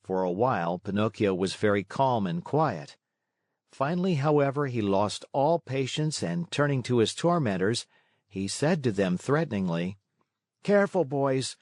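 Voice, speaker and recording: A man narrating a children's read-along story in an expressive, scripted style. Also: lowish voice, moderate pace, dry recording, clean studio sound.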